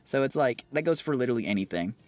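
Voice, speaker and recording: A man chatting casually with his livestream viewers, rambling and animated, with lots of fillers and quick laughs. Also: mid-range voice, no echo, soft background music. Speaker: streamer and singer.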